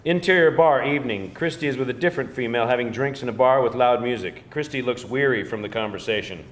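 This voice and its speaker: A man's voice: mid-range voice, fast talker. Man narrating screenplay stage directions.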